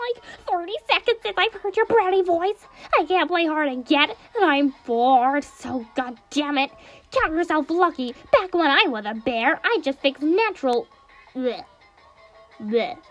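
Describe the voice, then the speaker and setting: A petulant young man who was once a robot bear whines in a shrill, loud voice that jumps high, as a woman puts him on. He sounds like a spoiled storybook brat, with faint music underneath. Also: expressive, unhurried, exaggerated voice. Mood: whiny.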